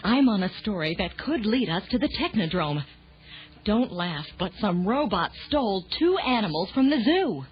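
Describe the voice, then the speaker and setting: An actress playing a frustrated, determined reporter in a children's audio drama, exasperated and emphatic. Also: female voice, medium pitch, very animated, moderate pace, breathy edge, soft background music. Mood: confiding. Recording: dull lo-fi audio.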